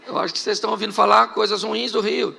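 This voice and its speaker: A man's voice: high voice, creaky, bright, forceful tone. A middle-aged man from Rio de Janeiro with a Carioca accent, preaching a humorous live sermon with jokey stories and rhetorical questions to the congregation. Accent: Carioca Portuguese. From Rio de Janeiro, Brazil. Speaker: pastor. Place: church hall before a congregation.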